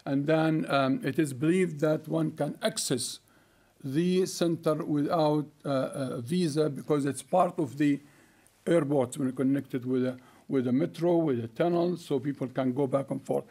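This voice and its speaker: Older man giving a halting keynote. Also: fairly high pitch, dark tone. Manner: halting, many fillers and restarts. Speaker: keynote speaker. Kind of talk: conference keynote talk. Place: conference hall stage at a lectern. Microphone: lectern microphone.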